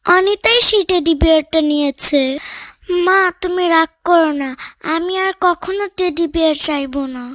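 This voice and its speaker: High, childlike cartoon fox girl. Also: moderate pace, no room sound, studio quality. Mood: sad, sorry. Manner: pleading, earnest. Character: earnest young girl fox cub, sad and eager. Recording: loud children's music behind.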